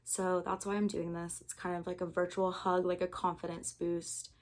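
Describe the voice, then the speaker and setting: A young American woman in her early twenties speaks in a slightly low voice. She talks informally and earnestly, as if addressing a camera in a candid personal video. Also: little pitch movement, normal speed. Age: early 20s.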